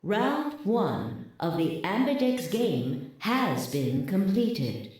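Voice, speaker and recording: A calm female computer announcer, slow and measured. Her tone is mellow and dark, and it rings in a heavy echo. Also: medium pitch. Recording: echoey game audio with music.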